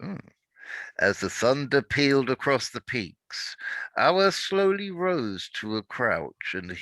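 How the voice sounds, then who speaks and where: A man reading a dark adventure story aloud in dramatic narration, now and then stumbling over words and correcting himself. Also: lowish voice, dry recording, low-quality recording.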